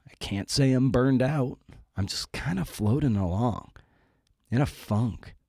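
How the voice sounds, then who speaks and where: A man's voice: medium pitch, a little slow, vocal fry, darkish tone, no echo, studio quality. A man hosting a writing podcast, talking casually in a wry, self-deprecating way with rambling asides. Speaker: podcast host and writer.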